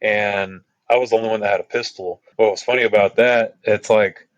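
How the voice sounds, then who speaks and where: A man's voice: fairly even intonation, a little fast, no echo, lo-fi sound. A man from Texas, telling trail stories on a podcast in a rambling way, full of fillers and asides. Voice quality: deep voice. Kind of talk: casual storytelling.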